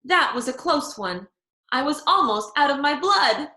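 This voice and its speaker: A woman voicing an excitable, dramatic little girl from a children's storybook, with a childlike, emphatic way of talking. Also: sits high, measured pace, echoey room, pitched above the performer's own voice, exaggerated voice. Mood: relieved. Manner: dramatic, childlike, hollering when upset. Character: excitable, dramatic little girl narrating her own mishaps.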